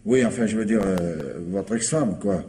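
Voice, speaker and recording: A man's voice: on the low side, expressive, normal speed, mellow, slight background noise, lightly acted. An actor playing a flustered, deferential junior policeman in a French radio mystery, stammering awkwardly.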